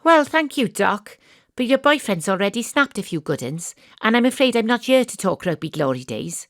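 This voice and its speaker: A woman playing a world-weary, wry private detective, narrating a noir audio drama in a deadpan way. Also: a little high, moderate pace, no room sound. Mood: deadpan, observant. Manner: deadpan, dry. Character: female private detective, world-weary, wry, hard-boiled ex-rugby star.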